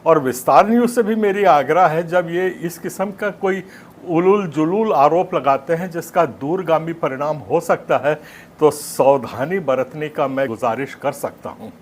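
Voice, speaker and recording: Higher-voiced Indian man, scornful public appeal. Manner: scornful, rhetorical.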